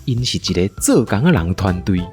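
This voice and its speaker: A woman's voice: very deep voice, very animated, brisk, a little mellow, faint music behind. A woman with a Taiwanese accent hosting and narrating a playful scripted story. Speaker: podcast host and storyteller.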